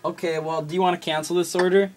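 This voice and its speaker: Young American guy, halting, slightly noisy recording. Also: fairly high pitch, little pitch movement. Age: teens or young adult. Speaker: prank caller. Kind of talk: casual, improvised prank phone call. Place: small room at a desk, indoors. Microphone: camera phone at arm's length. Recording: noisy amateur phone video with clicks.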